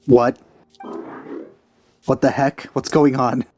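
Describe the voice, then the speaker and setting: A man's voice: mid-range voice, flat delivery, slow pace, a little mellow, a little hiss or hum. A man commenting casually on a game as a let's play host, in a wry, offhand way. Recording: game music behind voice. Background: game music.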